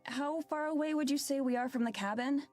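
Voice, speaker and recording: A woman's voice: high voice, brisk, darkish tone, faint music behind, pitched above the performer's own voice, close to an everyday voice. A woman voicing a tired, hesitant young woman, politely impatient, in a visual novel game. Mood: uneasy.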